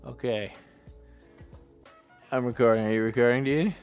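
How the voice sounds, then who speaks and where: A man's voice: mid-range voice, fairly even intonation, normal speed, darkish tone, clean tone, faint music behind. A young man co-hosting a comedy podcast, trading crude jokes, laughing often and slipping into bits. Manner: joking, laughs often, does voices. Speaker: podcast co-host.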